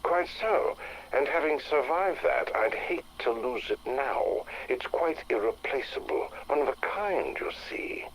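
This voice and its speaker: An actor playing an urbane, fussy English gentleman explorer with an upper-class accent, measured and pompous in a period audio drama. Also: medium pitch, lively intonation, slight background noise, natural voice, low-quality recording. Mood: anxious, fussy.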